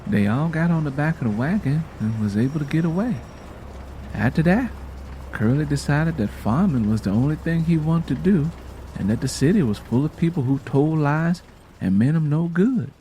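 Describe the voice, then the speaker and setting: Mellow mother, sorrowful and folksy man voicing her. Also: on the high side, lively intonation, moderate pace, a little hiss or hum, lightly acted. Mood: matter-of-fact. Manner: plainspoken, folksy, reflective storytelling. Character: sorrowful Southern mother recounting hard family history.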